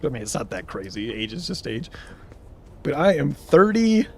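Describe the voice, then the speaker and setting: A man's voice: mid-range voice, sing-song, moderate pace, darkish tone, slight background noise. A man in his thirties streaming a game live, chatting casually in a rambling way and answering viewers' chat as he plays. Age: 30s.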